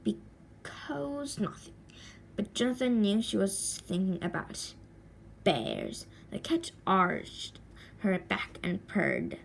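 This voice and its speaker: A woman narrates a children's story at a slow, deliberate pace. Her pitch moves in lively swoops, with dramatic pauses and stretched words, over faint background noise. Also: gravelly, poor audio. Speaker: storybook reader. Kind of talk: expressive read-aloud children's story narration.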